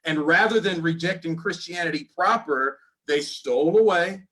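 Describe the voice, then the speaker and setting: A man on a discussion panel, explaining his point in a measured way. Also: a little high, fairly bright tone, rough recording.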